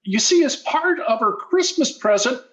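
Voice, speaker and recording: A man's voice: extremely high pitch, lively intonation, normal speed, a bit bright, low-quality recording. A man playing a wheedling teenage schoolboy in old-time radio sitcom style, stammering and mock-modest. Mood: eager hinting. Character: wheedling teenage schoolboy, eager, guileless-sounding schemer.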